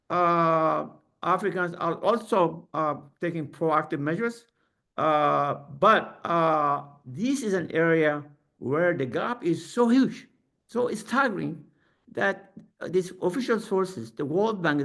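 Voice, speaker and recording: Man, fairly high voice, panelist, halting speech, frequent fillers, video call. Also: creaky, pushed and bright. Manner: halting, many fillers and restarts. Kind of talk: academic webinar remarks.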